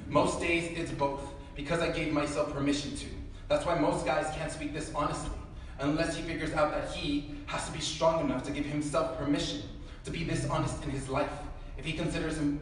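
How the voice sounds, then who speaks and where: Young male poet, fairly high voice, rhythmic and emphatic spoken word, recorded from far off in a reverberant room. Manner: emphatic, rhythmic delivery mixing in Spanish phrases. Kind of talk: spoken word poetry performance. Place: bare concrete-block gallery with a small audience, indoors. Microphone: handheld camera or phone in the audience, far from speaker. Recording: very echoey room, mic'd from far off, noisy.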